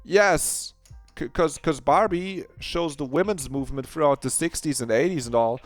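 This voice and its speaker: A man's voice: fairly high pitch, moderate pace, dry recording, soft background music, pitched above the performer's own voice, close to an everyday voice. A man voicing an anxious, eager teenage girl who rambles and stammers nervously. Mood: excited.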